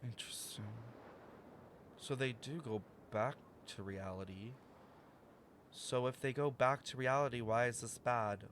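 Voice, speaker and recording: A man commenting casually over a story game as he plays. He thinks out loud and hedges with loose fillers while he works out the plot. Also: mid-range voice, measured pace, slight background noise. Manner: thinking aloud, filler-heavy, puzzled. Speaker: streamer reacting to a story game.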